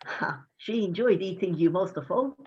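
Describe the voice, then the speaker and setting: A woman's voice: fairly low pitch, animated, moderate pace, slightly rough, highs cut off. A woman playing a hapless, cheeky and impulsive farm boy in an amateur myth play reading. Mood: eager, cheeky.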